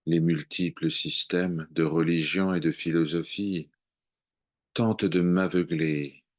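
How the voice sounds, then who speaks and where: A man reciting devotional poetry in a measured, contemplative way, with long pauses between short phrases. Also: low-pitched, fairly even intonation. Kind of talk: slow devotional poem recitation.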